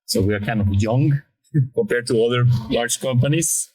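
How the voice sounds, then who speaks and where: A man's voice: medium pitch, moderate pace, a little mellow, breathy edge, low-quality recording. A middle-aged man from Mexico City speaking English with a Mexican Spanish accent, answering interview questions hesitantly and in a friendly way. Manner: hesitant, many fillers and restarts, friendly. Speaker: guest, sales lead.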